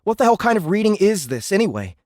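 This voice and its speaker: A man's voice: sits high, big pitch swings, fast talker, darkish tone, dry recording. Slangy american teen boy, snarky and fed up, very up and down, close dry audiobook sound. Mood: indignant. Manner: slangy, sarcastic, exasperated. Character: sarcastic, indignant American teenage boy. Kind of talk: audiobook drama acting.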